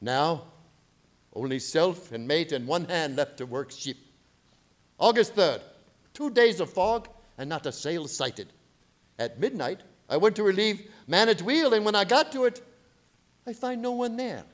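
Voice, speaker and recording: Man acting a grim, high-pitched ship captain. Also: animated. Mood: uneasy. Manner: clipped, halting log-entry phrasing with broken English. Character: grim, fearful foreign ship captain reading his log. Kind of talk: dramatic radio play narration.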